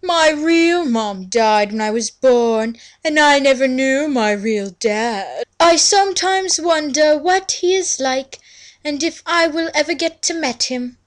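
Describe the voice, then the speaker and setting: A woman plays a vain, whiny teenage girl telling her own story. The voice is fairly high and the delivery slow, affected and drawn out, with heavy melodrama in the manner of a mocking fan fiction reading. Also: expressive. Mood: wounded. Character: vain, whiny, melodramatic sixteen-year-old girl narrating her life.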